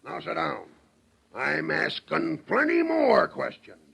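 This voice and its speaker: A gruff frontier lawman from an old radio western. His voice is high, husky and full of gravel, swinging widely as he blusters and gives orders in a Western American accent. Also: huge pitch swings, slow, a bit bright, a little hiss or hum, heavily acted. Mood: angry, commanding. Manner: blustering, rustic folksy grammar. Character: gruff, bullying Old West sheriff barking questions. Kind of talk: 1930s radio western acting. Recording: old 1930s radio recording.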